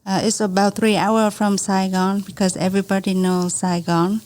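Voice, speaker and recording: A woman in her forties with a Vietnamese accent, telling her own story as a podcast guest in halting second-language English. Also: medium pitch, level tone, a little mellow. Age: 40s. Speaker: podcast guest, small business owner. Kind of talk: conversational personal storytelling.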